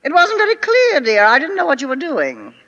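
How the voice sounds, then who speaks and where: A woman's voice: sits high, huge pitch swings, brisk, a bit bright, exaggerated voice. A woman playing a frank, patient friend who gives matter-of-fact criticism in a 1950s radio comedy. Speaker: stage actress playing a part. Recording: dull old radio recording, hall reverb.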